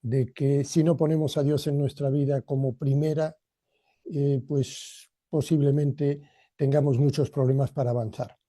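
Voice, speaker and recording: A man's voice: mid-range voice, level tone, measured pace, dark tone, no room sound, studio quality. A man gives a motivational training talk in a reflective, personal way, addressing listeners directly. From Argentina, emigrated to Spain as a boy.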